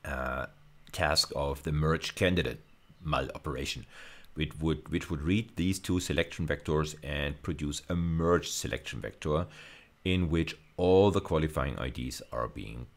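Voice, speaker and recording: Male university lecturer, very deep bass voice, step-by-step technical explanation, repeated and rephrased phrases. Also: measured pace.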